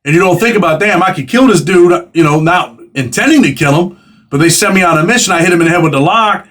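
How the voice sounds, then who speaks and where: A man's voice: high-pitched, animated, fairly bright tone. A man in his forties hosting a casual interview, talking in a conversational, streetwise way. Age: 40s. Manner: fast, conversational, streetwise slang. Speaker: host.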